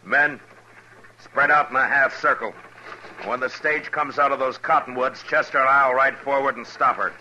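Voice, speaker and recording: An actor playing a stern, steady Old West marshal in a radio western, speaking in a measured, authoritative, terse way. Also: male voice, medium pitch, lo-fi sound. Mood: commanding. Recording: vintage radio recording with faint noise.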